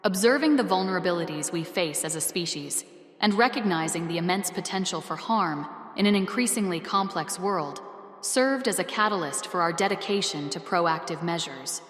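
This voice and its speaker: A woman playing a solemn, benevolent otherworldly guardian, delivering scripted proclamations in a formal, grandiose, measured manner. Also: lowish voice, animated, a bit bright. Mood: solemn, steadfast. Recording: echoey, long reverb, faint music behind.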